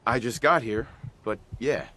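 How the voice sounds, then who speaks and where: An actor playing a calm, reserved, plain-spoken wanderer, the hero of a video game. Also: male voice, mid-range voice, a little slow, a little hiss or hum. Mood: curious.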